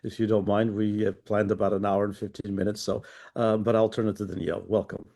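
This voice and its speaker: A man's voice: fairly low pitch, little pitch movement, quick pace, vocal fry, dark tone. A man moderating a conference panel in a casual way, handing the floor to the next speaker.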